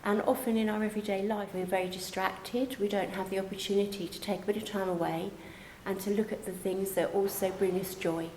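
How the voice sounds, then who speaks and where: A woman's voice: mid-range voice, fairly even intonation, fairly quick, darkish tone, slight background noise. A woman on a college's staff, talking conversationally in a promotional interview, recorded in an echoey space. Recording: echoey space, faint background ambience.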